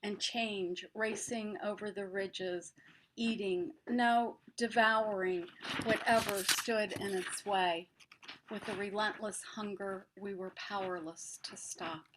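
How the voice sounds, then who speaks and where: A woman recites poetry at a very slow pace in a medium-pitched voice. There is faint noise in the recording. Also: fairly even intonation. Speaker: poet.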